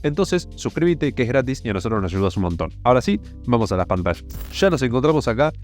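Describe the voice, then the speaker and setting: Hoarse Argentine man explaining numbers. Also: mid-range voice, sing-song, fast, a little mellow, faint music behind. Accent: Argentine Spanish. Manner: plainspoken, walks through numbers step by step. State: hoarse from a sore throat. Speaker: finance channel host. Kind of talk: explaining investments over spreadsheets.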